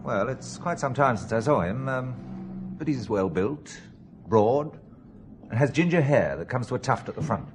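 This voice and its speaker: A man's voice: mid-range voice, animated, normal speed, a little hiss or hum, lightly acted. An actor playing a polite, slightly hesitant middle-aged English businessman in an audio drama. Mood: courteous.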